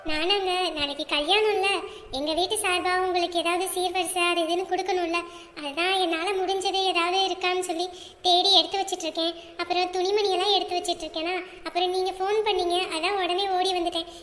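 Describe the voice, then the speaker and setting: A woman voicing a gentle bride-to-be who speaks meekly and politely. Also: extremely high pitch, fairly even intonation, brisk, echoey room, long reverb tail. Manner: meek, polite. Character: gentle soft-spoken bride-to-be, a widow remarrying.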